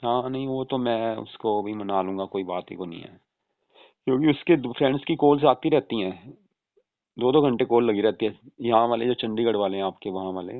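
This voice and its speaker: A man playing an easygoing adult son reassuring his mother in an informal, chatty phone conversation, with a creaky voice on a tinny call recording. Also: medium pitch, brisk, no room sound, natural voice. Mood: casual, persuading. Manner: informal, chatty, often seeking agreement with tag questions. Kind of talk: staged casual phone conversation in Hindi.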